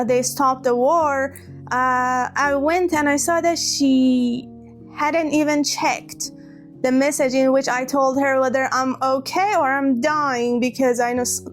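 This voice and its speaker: A woman telling a personal story to camera, hurt and hesitant, often restarting her words. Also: high-pitched. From Iran. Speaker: vlogger.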